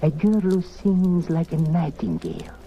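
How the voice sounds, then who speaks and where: Sly, swooping foreign scoundrel. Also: male voice, on the high side, huge pitch swings, unhurried, mellow, full character voice. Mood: confiding. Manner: wheedling, theatrical, ends demands with "no?". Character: sly, greedy underworld cafe proprietor, a charming male scoundrel. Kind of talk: old-time radio drama acting. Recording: noisy old radio recording.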